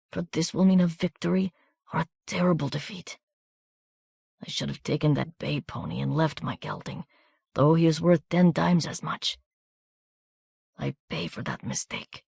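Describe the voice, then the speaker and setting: A reader voicing a wounded, desperate young soldier who speaks in a weak, breathy murmur with a soft foreign accent. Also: female voice, sits low, no echo, clean studio sound, heavily acted.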